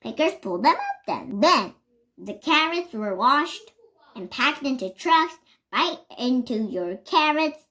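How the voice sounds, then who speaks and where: High-pitched young reader, animated and unhurried. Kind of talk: reading a children's picture book aloud.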